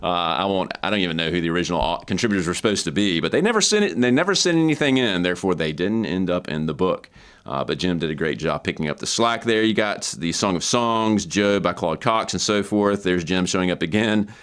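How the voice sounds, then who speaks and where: A man with a low voice talks through an academic book as its reviewer. His delivery is informal and explanatory, conversational but scholarly. He often repeats a word or phrase to drive a point home. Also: expressive, a little fast, a bit bright, smooth.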